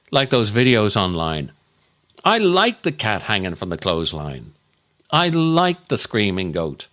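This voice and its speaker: A man delivering a scripted comic monologue as a podcast host, in a grumpy, exasperated, mock-appalled and deliberate way. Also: medium pitch, a bit bright.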